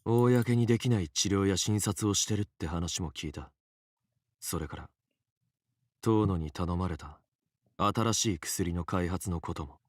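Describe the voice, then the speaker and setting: A cool, defiant young man in a visual novel. The voice is low and dry, with blunt, quick, accusing delivery. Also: no echo. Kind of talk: Japanese visual novel voice acting.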